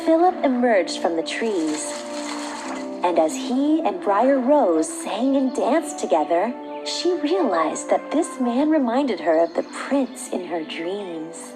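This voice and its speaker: A woman's voice: mid-range voice, big pitch swings, takes it slowly, poor audio. A woman reading a scripted fairy tale for children as a storyteller. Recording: background music.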